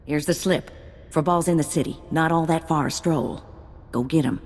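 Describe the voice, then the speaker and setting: A low-voiced, folksy bounty broker. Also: female voice, moderate pace, darkish tone, in a large hall, faint music behind. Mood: wry, teasing. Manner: folksy, colloquial, sardonic. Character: wry, folksy female bounty broker, streetwise and teasing. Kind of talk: video game acting.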